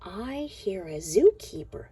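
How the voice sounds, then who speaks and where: Casting: female picture-book reader, extremely slow and very mellow, sing-song, sometimes near whispering. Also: medium pitch, sing-song, breathy edge, soft background music. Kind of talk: expressive picture-book read-aloud for young children.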